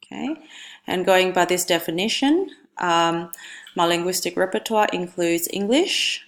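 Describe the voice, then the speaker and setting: A young woman whose first language is Indonesian presents her assignment in a deep, low register. She speaks carefully and in a measured way, pausing on ums and correcting herself now and then. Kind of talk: recorded class presentation.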